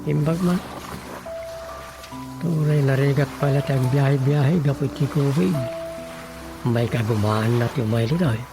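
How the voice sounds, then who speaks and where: A man acts out an unhurried rural Ilocano fellow in a radio comedy drama. The voice is slow and full of fry, with music playing clearly behind it. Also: medium pitch, close to an everyday voice. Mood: musing.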